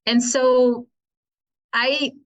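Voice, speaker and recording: A woman's voice: high voice, flat delivery, drawn-out words, poor audio. A woman from Russia with a Russian accent, speaking thoughtfully as a guest in a casual spiritual conversation, often restarting her sentences. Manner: thoughtful, with frequent restarts and soft laughs.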